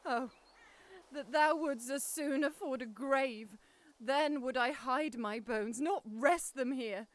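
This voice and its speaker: A woman's voice: high-pitched, slow pace, no echo. A grieving widowed queen. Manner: lamenting, pleading. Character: grieving widowed queen mourning her sons. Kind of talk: Shakespearean audio drama acting.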